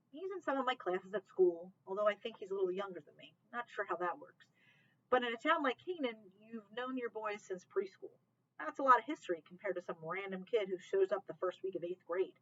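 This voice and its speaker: A woman reads a children's novel aloud with expressive storybook narration. Her voice is bright and forward. The recording sounds somewhat dull and lo-fi. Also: medium pitch. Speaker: library read-aloud reader.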